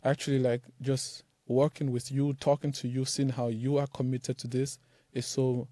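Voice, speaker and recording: Easygoing man, mellow voice, filler-heavy. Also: mid-range voice, moderate pace, no echo. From Nigeria. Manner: animated, loose, many fillers like "like" and "man". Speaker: startup founder, guest. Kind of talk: casual interview talk.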